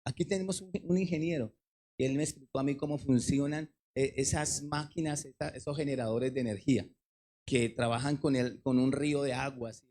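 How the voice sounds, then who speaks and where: A male pastor preaching an impassioned sermon, swinging between loud exhortation and quieter explanation. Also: mid-range voice, fairly even intonation, a little fast.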